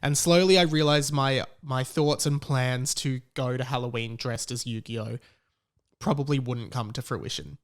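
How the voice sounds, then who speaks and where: A man with an Australian accent and a medium-pitched voice talks casually, like a podcast host alone at the mic. He retells things in an animated, conversational way, slipping into quoted dialogue as he goes. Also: normal speed. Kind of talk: casual solo storytelling.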